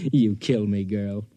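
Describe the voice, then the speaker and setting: A man's voice: fairly low pitch, dark tone, dry recording. An American actor playing a cynical, mocking middle-aged man in a 1950s radio drama, with a sarcastic, sneering delivery. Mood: scornful. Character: cynical, mocking, jaded lover with a menacing edge.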